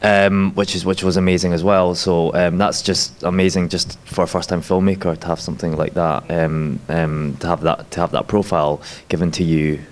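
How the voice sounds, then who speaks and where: An actor and filmmaker who grew up in Scotland, rambling with lots of "like" and "you know" at a festival panel. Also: male voice, deep voice, little pitch movement, moderate pace, few pauses.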